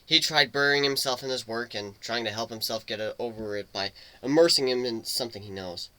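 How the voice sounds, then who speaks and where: A man's voice: medium pitch, a little fast, bright, forceful tone, no echo, poor audio. A man narrating a scripted story as a dramatic reading.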